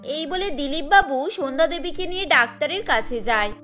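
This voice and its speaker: A woman with a high voice narrates a cartoon story from a script. She keeps a steady storytelling pace, and faint music plays behind her. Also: normal speed.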